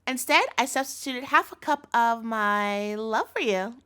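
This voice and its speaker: A woman's voice: a little high, unhurried, fairly bright tone, clear, resonant tone. A woman playing an obsessive chef girlfriend in a scripted audio roleplay, sugary and coaxing with a threatening edge, speaking intimately to the listener. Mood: falsely cheerful. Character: obsessive yandere chef girlfriend, sweet but menacing.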